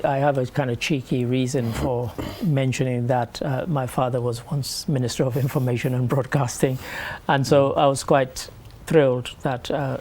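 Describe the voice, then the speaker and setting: A man's voice: mid-range voice, a little mellow, slight background noise. A man hosting a studio news review, talking in a thoughtful, hesitant way with many fillers. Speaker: host. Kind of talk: studio discussion.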